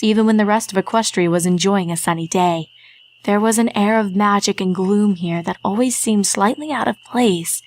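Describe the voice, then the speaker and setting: A woman reading a fan story aloud in a steady, measured narration. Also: mid-range voice. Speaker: reader.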